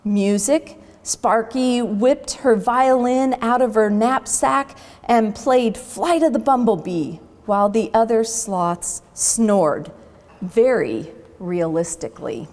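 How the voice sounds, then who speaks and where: A woman storyteller reading a children's book aloud expressively, with dramatic pauses between phrases. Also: on the high side, lively intonation, darkish tone, long reverb tail.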